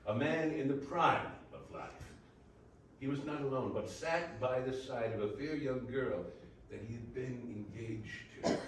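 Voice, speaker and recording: Echoey male narrator reading a classic story. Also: mid-range voice. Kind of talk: scripted storybook narration in readers theater. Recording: very echoey distant room, faint noise.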